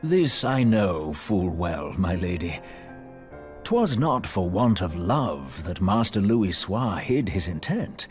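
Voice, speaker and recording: A solemn scholar from a fantasy video game, speaks in a low, very slow voice. His diction is archaic and courtly, weighed with grief and formality, over a light bed of music. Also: very animated, full character voice. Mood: regretful. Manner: formal, deliberate, theatrical.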